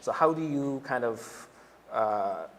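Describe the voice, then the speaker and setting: A man's voice: mid-range voice, expressive, slow, slight background noise. A man, an art professor, asking careful questions with restarts as he moderates an artist talk in a gallery. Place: gallery hall. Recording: long hall reverb, faint ambience.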